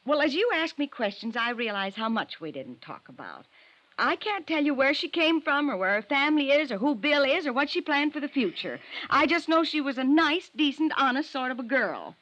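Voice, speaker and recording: Nervous defensive neighbor lady in a 50s radio drama, highish voice, swings up and down a lot. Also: very animated, a little fast, a bit bright. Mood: defensive, earnest. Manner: hesitant, protesting, defensive. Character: defensive female neighbor, anxious busybody witness, loyal friend. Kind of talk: 1950s radio drama acting. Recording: muffled vintage radio recording.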